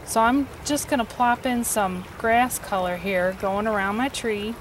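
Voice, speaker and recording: A woman's voice: a little high, a little slow. Woman teaching outdoor watercolor sketching. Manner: relaxed, encouraging, step-by-step explaining. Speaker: watercolor instructor. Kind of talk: outdoor painting demonstration. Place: outdoors by a stream. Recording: faint stream noise behind.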